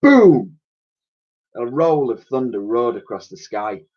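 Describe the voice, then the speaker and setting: A man's voice: mid-range voice, sing-song, takes it slowly, highs cut off. A man reading a children's story aloud like a teacher, with theatrical, suspenseful narration and emphatic sound effects spoken by mouth.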